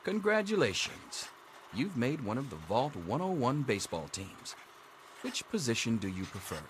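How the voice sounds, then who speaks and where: An actor playing a dry, sarcastic schoolteacher running an exam in a video game. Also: male voice, mid-range voice, sing-song, normal speed, noisy background, natural voice. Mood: droll, reciting. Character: sardonic male vault schoolteacher giving an exam. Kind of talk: scripted video game character dialogue.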